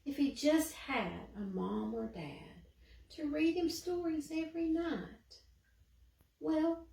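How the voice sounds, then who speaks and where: Casting: female storyteller, fairly high voice, unhurried dramatic picture-book narration, recorded in an echoey room. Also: creaky, mellow. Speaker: children's librarian reading a picture book. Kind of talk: expressive bedtime story narration. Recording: roomy echo, distant microphone.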